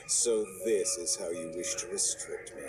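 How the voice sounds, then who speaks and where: An actor voicing an arrogant, scheming male scholar villain in a game cutscene, smooth and mocking, sometimes breaking into shouts. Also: low voice. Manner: smooth, mocking, theatrical, with sudden shouting outbursts. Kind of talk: video game cutscene voice acting. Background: game score music.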